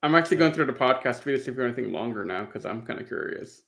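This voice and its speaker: A man's voice: medium pitch, expressive, racing, vocal fry, a bit bright. A man chatting casually as a co-host on a podcast.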